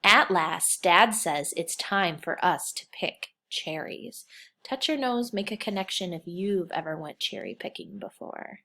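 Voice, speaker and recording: A woman's voice: fairly low pitch, very animated, measured pace, sharp tone. A woman reading a children's picture book aloud as a kindergarten teacher, expressively, with simple phrasing and questions put to young listeners.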